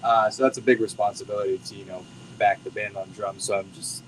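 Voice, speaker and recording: Soft-spoken grateful American drummer man. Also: on the low side, level tone, fast talker, noisy background. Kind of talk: casual interview. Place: bowling alley venue.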